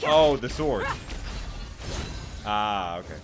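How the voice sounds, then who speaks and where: A man's voice: on the low side, expressive, takes it slowly. A man giving casual gameplay commentary as a streamer, with wry, offhand reactions. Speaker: gaming streamer. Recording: game music behind the voice. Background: video game music.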